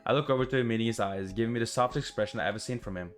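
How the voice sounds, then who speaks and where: A man's voice: mid-range voice, racing, soft background music, close to an everyday voice. A man reading as the shy, tired young narrator of a romantic visual novel. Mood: tender, flustered. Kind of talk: reading story text aloud.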